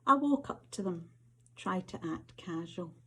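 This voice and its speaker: Husky Scottish woman reading short fiction. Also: on the low side, animated, a little slow. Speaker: author reading their fiction. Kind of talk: literary reading of short stories.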